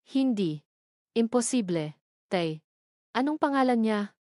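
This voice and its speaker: A woman's voice: a little high, lively intonation, takes it slowly, darkish tone, dry recording. Anguished young daughter, acted by a woman narrator, dry sound. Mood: stunned, resigned. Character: anguished young daughter pleading with her father. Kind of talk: audio drama story reading.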